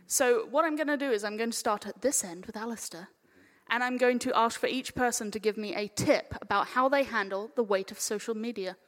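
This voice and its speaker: A woman's voice: on the high side, brisk. A woman chairing a live panel in an informal, casual way, putting questions to the guests. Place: live event panel in a hall. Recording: echoey hall with long reverb.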